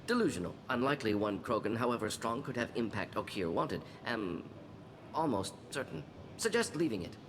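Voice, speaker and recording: Man as a clipped alien scientist, lively pitch. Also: fairly quick, close to an everyday voice. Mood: dismissive, wary. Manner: clipped, telegraphic phrasing. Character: brisk, analytical male alien scientist. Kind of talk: game character acting. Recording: reverberant hall, clear background noise.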